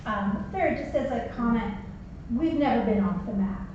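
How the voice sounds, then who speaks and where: A woman's voice: fairly high pitch, expressive, moderate pace, darkish tone, a little hiss or hum. A woman chairing a town board meeting, firm and procedural. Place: town office meeting room. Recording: echoey hall, distant mic, dull.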